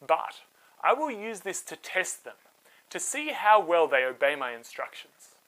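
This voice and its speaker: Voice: mid-range voice, very animated, unhurried, a bit bright, poor audio. A storyteller voicing a commanding, easily exasperated God who gives firm instructions in a children's Bible story.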